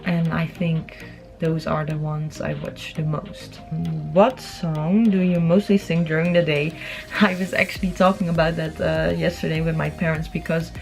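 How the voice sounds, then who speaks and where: A young woman with a Dutch accent talks in a fairly low voice over light background music. She is chatty and informal, answering questions for a vlog and feeling her way through her sentences. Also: level tone, normal speed, creaky, darkish tone. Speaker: vlogger and amateur singer. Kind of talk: casual Q&A chat to camera.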